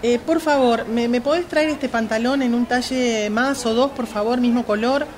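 A woman's voice: fairly high pitch, moderate pace, a little mellow, a little hiss or hum, natural voice. A woman with a Rioplatense Argentine accent playing a polite but exacting fitting-room customer in a radio play.

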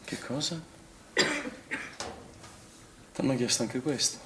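A man's voice: mid-range voice, brisk, lightly acted. An actor playing an agitated male swimming instructor in a naturalistic stage drama, defensive and indignant, often stammering and repeating himself. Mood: offended, guarded. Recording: distant, echoing stage pickup with noise.

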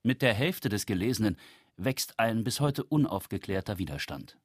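Guy reading as a disdainful male novelist, low voice, literary quote, dry close sound. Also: expressive, normal speed, husky, dry recording. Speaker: reader of author quotations.

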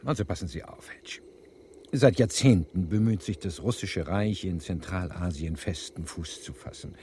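An actor playing a brilliant, self-assured American professor and amateur detective in a radio crime drama, precise and didactic in manner. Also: male voice, low voice, normal speed, dark tone, slight background noise, natural voice. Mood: lecturing.

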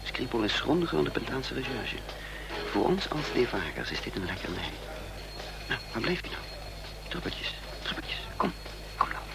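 An actor playing a wily, dry, conspiratorial fugitive agent in a Dutch radio play. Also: male voice, medium pitch, very animated, moderate pace, vocal fry, pauses often. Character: wily male fugitive agent, sardonic, protective of his companion. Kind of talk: Dutch radio play acting and first-person narration. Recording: noisy radio play recording.

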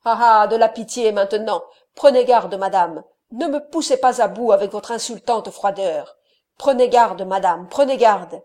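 A woman voicing a furious, jealous nobleman who hurls loud accusations in an audiobook reading. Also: on the high side, moderate pace, close to an everyday voice. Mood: threatening.

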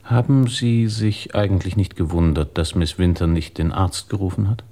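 An actor in a radio drama playing a calm police inspector, polite but authoritative in his methodical questioning. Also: deep voice, moderate pace. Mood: polite, probing.

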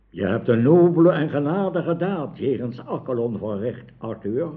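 An actor playing a weary, wise old wizard mentor in a radio drama, speaking with measured, solemn counsel. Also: male voice, medium pitch, slightly rough. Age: older. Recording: thin, phone-like old radio play recording.

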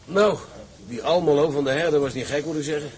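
An actor playing a plain-spoken seaman and business partner, measured and understated, in drama dialogue. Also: a little high, darkish tone, natural voice. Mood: appraising. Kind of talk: Dutch audio drama dialogue.

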